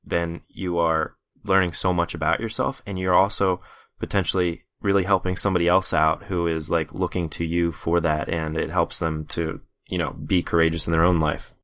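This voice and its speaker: A man hosting a podcast, talking in a monotone, relaxed and agreeable way. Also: low voice, moderate pace, dry recording, clean studio sound. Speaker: podcast host. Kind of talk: podcast conversation.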